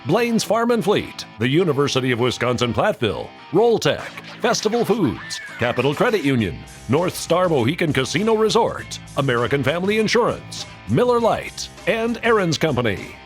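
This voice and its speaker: A man reads sponsor credits as an announcer. His voice is fairly high and swoops with lively intonation over faint music. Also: moderate pace, hardly any pauses. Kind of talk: scripted promotional read.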